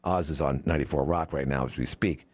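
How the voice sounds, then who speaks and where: A man's voice: very deep voice, steady tone, fast, a little mellow, no room sound. A man of sixty, hosting a call-in radio talk show, opinionated and rambling, often breaking off and restarting his sentences. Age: 60s. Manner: rambling, opinionated, self-interrupting. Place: radio studio.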